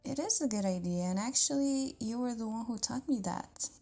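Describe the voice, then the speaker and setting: A woman playing a caring, tender partner in an intimate audio roleplay, talking casually in a soft, hesitant way with breathy near-whispers. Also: on the high side, animated, normal speed, faint music behind. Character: caring, tender live-in partner of an amnesiac lover.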